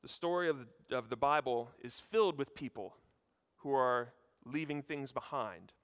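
A man in his thirties from the United States preaching a sermon at a seminary chapel, with a loud, projecting voice and a conversational manner, speaking directly to his listeners. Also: medium pitch, normal speed. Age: 30s. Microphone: handheld or lapel mic. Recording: hall reverb.

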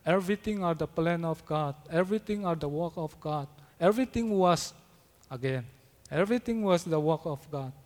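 A man who is not a native English speaker preaching a sermon, in halting English with frequent restarts. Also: a little high, darkish tone. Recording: long hall reverb.

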